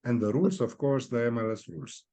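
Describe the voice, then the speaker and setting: A man with a Romanian accent, a guest speaking in a halting way, often searching for words and filling pauses. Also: medium pitch, expressive, dark tone. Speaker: guest, real estate executive. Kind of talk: video interview.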